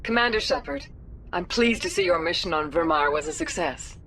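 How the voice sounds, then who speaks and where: A woman's voice: fairly low pitch, very animated, moderate pace, pushed and bright, a little hiss or hum. A woman playing a diplomatic, composed alien councilor in a science fiction game, formal and poised.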